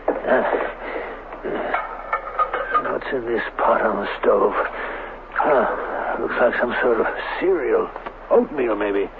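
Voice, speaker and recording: An actor playing a flustered, impatient scientist in a radio drama, with an agitated, muttering delivery. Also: male voice, mid-range voice. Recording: muffled, hissy old radio recording.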